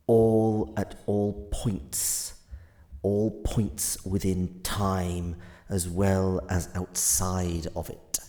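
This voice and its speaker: Audio drama casting: ancient, enigmatic godlike entity played by a man, extremely slow, solemn delivery with long pauses and creaky low tone. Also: warm tone, full character voice. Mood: solemn, cryptic. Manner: solemn, halting, deliberate phrasing with long pauses. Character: ancient godlike being of paradox, weary, wounded, enigmatic.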